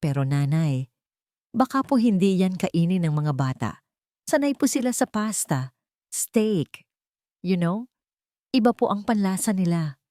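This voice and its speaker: A woman's voice: lowish voice, lively intonation, measured pace, pauses often, warm tone, no echo. Snobbish, condescending wife for audio drama, played by a female narrator; clipped, falsely polite, mixing in English, dry sound. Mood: falsely sweet. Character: cold, snobbish young wife feigning sweetness to mother-in-law.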